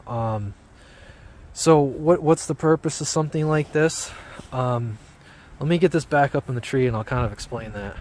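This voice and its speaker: A man giving a casual, off-the-cuff how-to explanation outdoors in an informal, rambling, halting way. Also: medium pitch, a bit bright. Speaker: hobby radio vlogger. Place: outdoors among trees. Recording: outdoor handheld video with background noise.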